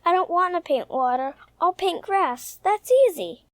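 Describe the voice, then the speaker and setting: Shy little boy, very high voice, polite and hesitant, for an old radio drama, dry sound. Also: expressive, a little slow, no echo. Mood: unsure. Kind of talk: mid-century radio soap opera acting.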